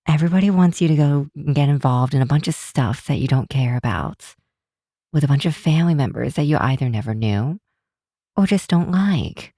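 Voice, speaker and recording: Woman acting a husky, cynical grinch girl. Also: deep voice, animated, dark tone, no echo, clean studio sound. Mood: cynical ranting. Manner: sardonic, exasperated, drawn-out emphatic words. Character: cynical grinch girl, ranting yet secretly affectionate. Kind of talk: ASMR roleplay, scripted acting to the listener.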